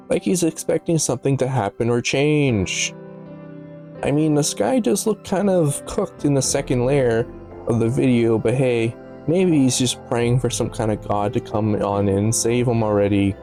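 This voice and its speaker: A man's voice: medium pitch, warm tone. A man giving casual, analytical video commentary in a musing, slightly stumbling way, with offhand asides. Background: background music.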